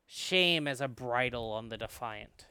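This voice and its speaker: Man performing male scholar narrator, visual novel, clear resonant voice, formal, careful, lecturing manner. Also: medium pitch, lively intonation, sharp tone. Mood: solemn. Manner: formal, didactic, measured. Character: learned, cautious male flower scholar and narrator. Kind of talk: visual novel read aloud in character.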